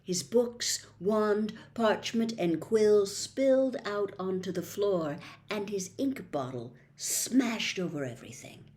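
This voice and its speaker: A woman narrating a children's novel aloud, reading expressively and dropping to a hush in quiet moments. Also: mid-range voice, lively intonation, takes it slowly, hardly any pauses. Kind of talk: storybook read-aloud.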